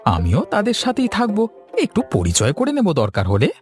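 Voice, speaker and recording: A man's voice: high voice, huge pitch swings, normal speed, over music, pitched above the performer's own voice. A man voicing a confident, eager young city man in a cartoon story. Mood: eager, breezy.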